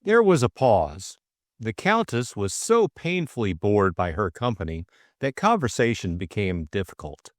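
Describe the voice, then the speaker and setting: A man's voice: fairly low pitch, sing-song, unhurried, no echo, clean studio sound. A man reading a scripted story recap and novel as an audiobook co-narrator, in dramatic style.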